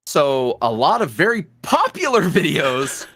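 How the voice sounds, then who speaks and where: A man chatting casually as a podcast guest, his voice swinging up and down in an animated, lively way. He laughs often. The sound is dry and close, with no room echo. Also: fairly high pitch, unhurried, breathy edge. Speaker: guest, ASMR roleplay creator.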